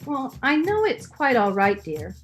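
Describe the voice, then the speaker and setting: Timid devoted wife, played by an actress: high voice, gentle, anxious, pleading, old-time radio drama with faint music. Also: female voice, huge pitch swings, measured pace, full character voice. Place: remote recording over Zoom.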